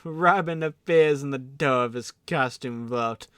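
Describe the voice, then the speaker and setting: A man's voice: mid-range voice, a little slow, fairly bright tone, clear, resonant tone, no room sound, clean studio sound. A man narrating an audio drama in a theatrical announcer style, slipping into offhand comic asides. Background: music.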